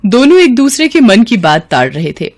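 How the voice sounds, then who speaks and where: A woman's voice: on the high side, very animated, normal speed. Woman narrating a literary story.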